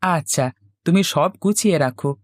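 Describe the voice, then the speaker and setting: Woman voicing a low-pitched, easygoing young husband. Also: normal speed, no room sound, clean studio sound, natural voice. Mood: content, proud. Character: young village barber husband, playful, generous, boastful. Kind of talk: Bengali cartoon voice acting.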